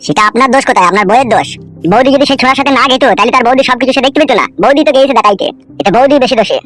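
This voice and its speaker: গ্রামবাংলার টানে একজন পুরুষ কার্টুনে এক মুখখারাপ, ফন্দিবাজ, খোঁচা-মারা চ্যালার গলা দিচ্ছে।. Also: male voice, very high voice, rapid-fire, fairly bright tone, faint music behind. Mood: teasing. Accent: rural West Bengali. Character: cheeky, scheming henchman, crude and foul-mouthed. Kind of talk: amateur comedy cartoon dub.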